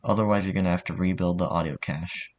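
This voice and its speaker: A man's voice: deep voice, steady tone, quick pace. A man giving a casual spoken tutorial in an informal, offhand way. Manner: informal, offhand, mildly sweary. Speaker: tutorial narrator.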